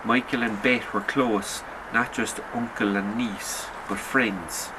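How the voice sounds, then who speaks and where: A man reading a literary short story in a sombre, measured storytelling manner. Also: lowish voice, fairly even intonation, noisy background. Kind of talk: literary audiobook narration.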